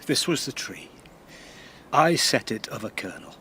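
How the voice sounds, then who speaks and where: A man's voice: mid-range voice, animated, a little slow, pushed and bright. Grief-crazed older father, British accent, vengeful, theatrical, volatile, classical radio drama, faint noise. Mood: bitter grief. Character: grief-maddened, vengeful older Spanish father and knight-marshal. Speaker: actor.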